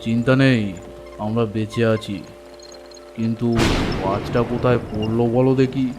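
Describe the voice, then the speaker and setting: A man voicing an older village moneylender in a cartoon story, familiar, brisk and taking the lead. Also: medium pitch, fairly even intonation, loud music behind the voice, close to an everyday voice. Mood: concerned, steadying. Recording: rain and thunder behind voice.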